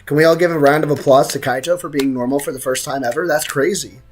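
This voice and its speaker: Very low-voiced woman game streamer. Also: fairly even intonation, fast talker. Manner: joking, slangy banter with viewers. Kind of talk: casual livestream chat and game commentary.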